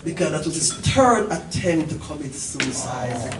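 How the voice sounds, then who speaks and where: A woman's voice: deep voice, lively intonation, unhurried, over loud music. A middle-aged woman, telling a story informally and emphatically to a gathering as its host, repeating phrases and checking in with listeners. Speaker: event organizer and host. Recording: echoey, distant mic, noisy live gathering.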